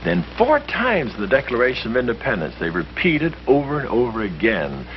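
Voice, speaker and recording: An earnest man lectures with a lively, swooping delivery that rises and falls as he stresses his points. He sounds like an old 1950s film reel, muffled and dull, with a faint hiss under him. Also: mid-range voice, fairly quick. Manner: earnest, emphatic, explanatory. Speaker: lecturer explaining history to friends. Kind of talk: scripted 1950s film dialogue.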